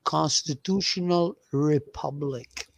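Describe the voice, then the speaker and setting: A man's voice: on the high side, slow pace, vocal fry, no room sound. A man from Iraq arguing bluntly and profanely in a heated livestream debate.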